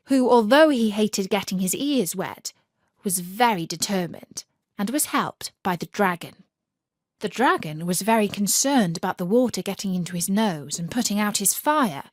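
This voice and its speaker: A woman narrates a children's story at an unhurried pace. Her voice sits at a medium pitch, with expressive, measured phrasing. The recording is dry and close, with very little room sound. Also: no echo.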